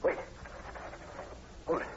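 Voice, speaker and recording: An actor playing a steady, commanding police sergeant in an old-time radio drama, brisk and authoritative. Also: male voice, close to an everyday voice. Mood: urgent, commanding. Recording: muffled old radio recording, faint noise.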